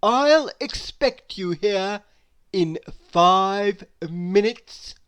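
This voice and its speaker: A woman voicing a pompous, demanding rich man from a children's storybook, haughty and drawn-out. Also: mid-range voice, lively intonation, clean tone.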